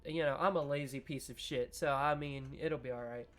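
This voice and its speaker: A man casually playing through a visual novel, reading lines aloud and cracking self-mocking jokes with swearing and sudden loud laughs. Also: mid-range voice, steady tone, normal speed. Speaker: gaming YouTuber. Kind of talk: casual let's-play commentary with read-aloud narration.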